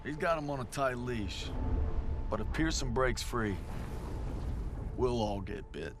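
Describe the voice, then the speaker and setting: A man's voice: mid-range voice, lively intonation, unhurried, noisy background, lightly acted. An actor playing a young soldier narrating his thoughts in a dry, wry inner monologue for a war game. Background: orchestral score.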